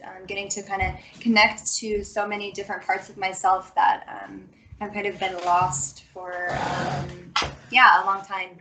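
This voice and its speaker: A woman's voice: medium pitch, bright, forceful tone, far from the mic, slight background noise, rough recording. A woman in her twenties from Utah, a guest on a podcast, speaking hesitantly with many ums. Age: 20s. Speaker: assistant guest.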